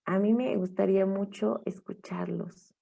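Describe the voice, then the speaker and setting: A woman teacher leading an online class, speaking in a halting, encouraging way over a video call mic. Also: mid-range voice, gravelly, warm tone. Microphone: computer mic on a video call.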